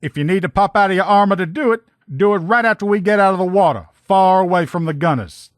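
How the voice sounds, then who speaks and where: A man voicing a gruff, loyal military lieutenant in a video game, with blunt, profane, folksy soldier talk. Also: high-pitched, fast talker, dry recording, clean studio sound, lightly acted. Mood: briefing, commanding. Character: gruff, loyal military lieutenant in power armor, protective comrade. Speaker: voice actor in a game mod. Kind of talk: video game character dialogue lines.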